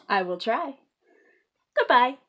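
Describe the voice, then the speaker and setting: Woman voicing an excitable little storybook piglet, lively and high. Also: exaggerated voice. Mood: determined. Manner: exclamatory, short emphatic sentences. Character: eager, determined, excitable female cartoon piglet.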